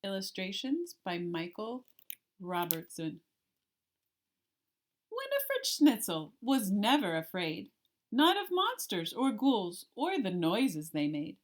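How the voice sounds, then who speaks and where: Woman, children's read-aloud, unhurried pace, medium pitch, expressive storyteller, deliberate rhyming delivery. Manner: deliberate, theatrical storytelling with emphasis on rhymes. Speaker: elementary art teacher.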